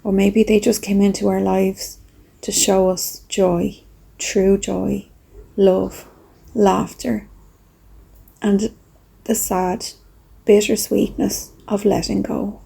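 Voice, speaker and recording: A woman speaking softly and reflectively with pauses, in a breathy, husky voice, as she leads a gentle guided meditation. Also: medium pitch, little pitch movement. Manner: slow, soft, reflective, with pauses.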